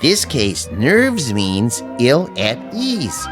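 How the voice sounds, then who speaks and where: An actor playing a patient, precise scientist who gently and carefully explains things in a radio drama, with music behind him. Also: male voice, medium pitch, wildly swooping pitch, very slow, exaggerated voice. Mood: patient, explaining. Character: patient, precise scientist minding a robot ballplayer.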